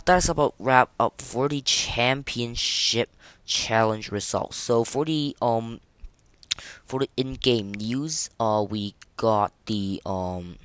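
A man hosting a casual solo gaming podcast, speaking in a halting way and often stumbling and repeating words. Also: fairly low pitch, fairly bright tone, smooth, dry recording. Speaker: podcast host.

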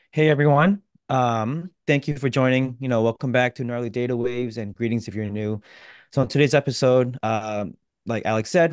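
A man with a fairly low voice introducing a webinar guest. He is casual and friendly and uses a few fillers, and the sound is dry and close. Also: darkish tone, no echo. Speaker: co-presenter, product marketing manager.

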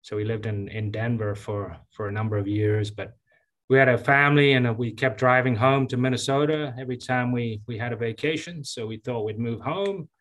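A man in his fifties from Zambia, a county engineer giving relaxed, chatty interview answers with many fillers and restarts. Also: medium pitch, brisk. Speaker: county engineer and public works director.

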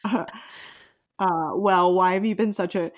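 A woman's voice: fairly low pitch, fairly even intonation, measured pace, dull audio. A woman hosting a casual podcast discussion, informal and jokey in how she talks. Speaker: podcast host.

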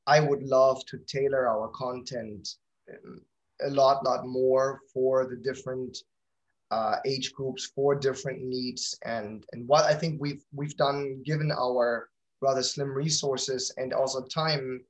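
A man's voice: mid-range voice, steady tone, unhurried, muffled recording. A man speaking as an interview guest about his own company in casual talk, often repeating words as he thinks.

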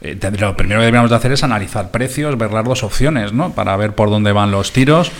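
A man's voice: on the low side, fast talker. A man with a Spanish accent from Spain hosting a radio programme in an informal, conversational and explanatory way.

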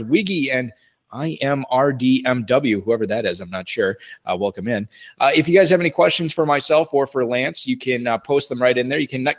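An upbeat man hosts a sports talk show from a studio, rattling off sponsor reads and phone numbers between friendly banter. His voice sits at an ordinary middle pitch, relaxed and chatty. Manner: upbeat, chatty, reads plugs and contact details. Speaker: podcast host. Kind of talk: casual radio show hosting with sponsor reads. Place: radio studio.

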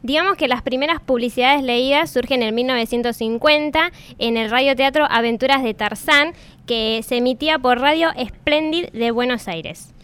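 Very high, crisp woman explaining. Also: normal speed, a bit bright. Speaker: podcast co-host who explains facts and words. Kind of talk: informative explanation.

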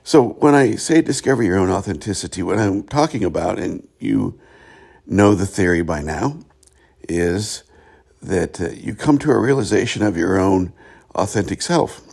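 A hoarse middle-aged man hosting a reflective spiritual talk show, speaking in a halting way with many pauses. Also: sits low, animated, a little mellow. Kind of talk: reflective solo monologue.

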